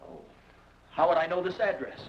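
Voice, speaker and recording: An actor playing a brash, high-voiced wisecracker. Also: male voice, normal speed, distant mic, lightly acted. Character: brash, cocky American man hunting for his brother. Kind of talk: 1940s radio acting. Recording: dull lo-fi old radio recording with faint hiss.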